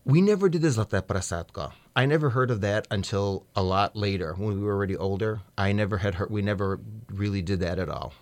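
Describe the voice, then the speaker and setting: Low-voiced man, dry studio sound. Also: a little fast, few pauses, dry recording. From United States. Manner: hesitant, good-humored, many fillers. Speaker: radio guest. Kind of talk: casual interview chat. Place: radio studio.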